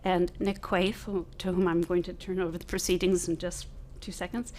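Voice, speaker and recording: A woman hosting an event, giving a formal, measured and somewhat hesitant welcome speech. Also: lowish voice, little pitch movement, a little mellow, a little hiss or hum. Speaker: event host, humanities center director. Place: event room at a university.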